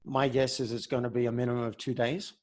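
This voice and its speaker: A man with a mid-range voice works through a roleplayed conversation as a coach. He speaks in a measured, deliberate way and leaves pauses inside his phrases. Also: mid-range voice, little pitch movement, darkish tone. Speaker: host, communication coach.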